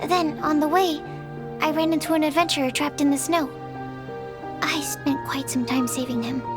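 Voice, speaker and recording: Woman as a very high-voiced earnest young alchemist. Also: fairly even intonation, moderate pace, loud music behind the voice, lightly acted. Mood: apologetic. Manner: earnest, precise, formal, easily flustered. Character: polite, earnest, shy young female alchemist.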